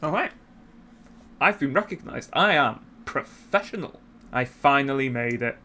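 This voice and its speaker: A man's voice: mid-range voice, animated, measured pace, pushed and bright. A man hosting a YouTube channel, giving casual commentary in a conversational way with self-deprecating asides. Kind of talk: casual video essay commentary.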